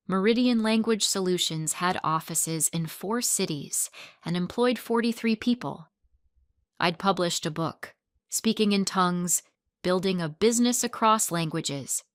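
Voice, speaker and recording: A woman narrating a first-person story in a calm, even storytelling manner. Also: lowish voice, no room sound, studio quality.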